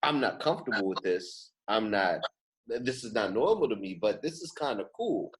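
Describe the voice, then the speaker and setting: A man's voice: on the low side, big pitch swings, moderate pace, rough recording. Guy hosting a podcast, slangy and emphatic, voice moves up and down a lot. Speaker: podcast host. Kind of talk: casual chat.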